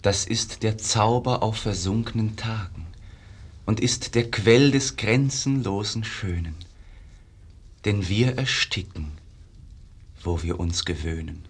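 An actor playing an earnest, solemn painter's disciple, speaking verse in a 1950s radio drama. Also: male voice, lowish voice, takes it slowly, husky, full character voice.

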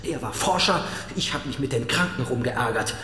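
An actor playing a bitter younger brother confessing guilt in halting, broken phrases in a radio drama. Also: male voice, medium pitch, lively intonation, sharp tone. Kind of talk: German radio drama acting. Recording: roomy interior, faint background noise.

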